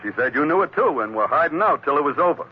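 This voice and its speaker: An actor playing a loyal, plain-spoken, wry first mate in a 1940s radio drama. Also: male voice, on the high side, lively intonation, fast talker, lightly acted. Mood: steady, urgent. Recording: muffled, hissy 1940s radio recording.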